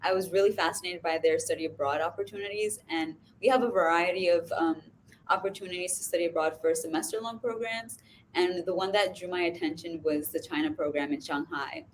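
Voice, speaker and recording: Young woman student from New York, lowish voice, measured, some ums, faint hiss. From New York, USA. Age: young adult. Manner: measured, pausing on um. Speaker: student panelist.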